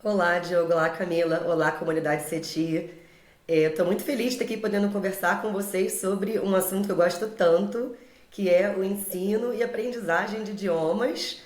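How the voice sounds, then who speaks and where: A woman's voice: medium pitch, steady tone, moderate pace, a little mellow. A woman in her forties with a Carioca accent from Rio de Janeiro, telling her story conversationally as a podcast guest and teacher. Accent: Carioca Brazilian Portuguese. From Rio de Janeiro, Brazil. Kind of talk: conversational personal storytelling in an interview. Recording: roomy echo.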